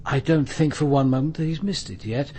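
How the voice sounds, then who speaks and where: An actor playing a measured, formal, courteous senior police commander in a radio drama. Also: male voice, a little high, warm tone, slight background noise, close to an everyday voice.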